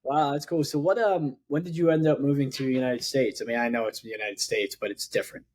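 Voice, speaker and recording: A man's voice: medium pitch, fairly even intonation, quick pace. A man hosting a podcast, asking loose, conversational questions with plenty of fillers. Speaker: podcast host. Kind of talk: casual interview.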